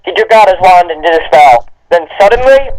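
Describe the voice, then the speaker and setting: A man co-hosting a comedic read-along, joking casually and reading aloud in an informal, halting way. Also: high-pitched, animated, a little mellow, poor audio. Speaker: co-host of a comedic dramatic reading.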